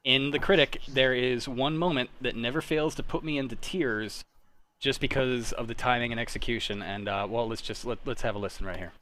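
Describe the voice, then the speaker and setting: A man's voice: mid-range voice, a little fast, a bit bright. A young man hosting a casual group chat, speaking emphatically and with strong opinions. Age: young adult. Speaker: podcast host.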